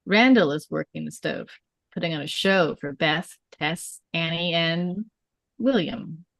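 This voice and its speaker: A woman's voice: sits low, measured pace, vocal fry, a bit bright, no echo. A woman narrating a script at a table read, reading out scene headings and stage directions.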